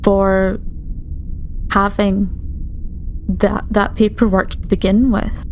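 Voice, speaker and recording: A woman's voice: medium pitch, little pitch movement, slow, lightly acted, low-quality recording. A woman playing a frank, concerned starship doctor in a sci-fi tabletop game, speaking formally and with hesitant care. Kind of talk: improvised sci-fi tabletop roleplay. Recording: faint background noise.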